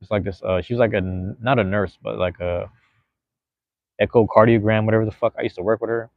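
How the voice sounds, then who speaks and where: A man in his thirties talks in a loose, rambling way, full of slang and casual swearing. His voice sits fairly low. The recording is dry and dull, with a lo-fi sound. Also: steady tone, quick pace, creaky, no echo. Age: 30s. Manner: rambling, laid-back, slangy, swears freely. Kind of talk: casual chat.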